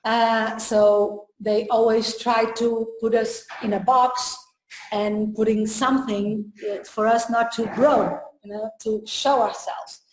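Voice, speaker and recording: Slow, hesitant woman from Portugal. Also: on the high side, little pitch movement. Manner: slow and hesitant, many fillers and pauses. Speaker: trainer and coach. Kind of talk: casual interview talk. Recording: echoey room.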